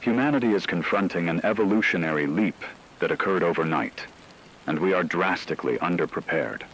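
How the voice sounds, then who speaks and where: A man playing a solemn radio news anchor, reading a formal, measured emergency broadcast in a scripted drama. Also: low-pitched, fairly bright tone, a little hiss or hum, rough recording. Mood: grave, urgent. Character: grave male radio news anchor reporting a werewolf apocalypse.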